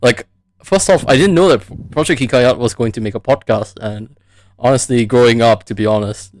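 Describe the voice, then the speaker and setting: A man's voice: mid-range voice, normal speed. A man from the United States chatting casually as the host of a live stream podcast.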